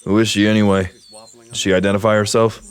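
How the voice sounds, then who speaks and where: A man playing an embittered, defiant sergeant in a sci-fi audio drama, with a gruff, sardonic way of talking. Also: on the low side, flat delivery, fast, lightly acted. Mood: casual. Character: embittered male special-forces soldier, a defiant sergeant.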